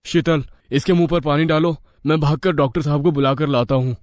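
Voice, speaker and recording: A man voicing an anxious, excitable village brother-in-law in a cartoon story, flustered and urgent. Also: on the high side, a little fast, no echo, studio quality, natural voice. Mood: alarmed. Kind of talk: cartoon moral story acting.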